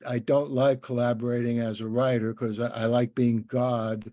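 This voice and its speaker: A man's voice: mid-range voice, level tone, moderate pace, warm tone, no room sound, highs cut off. An older man hosting a conversational interview, talking in a rambling, anecdotal way.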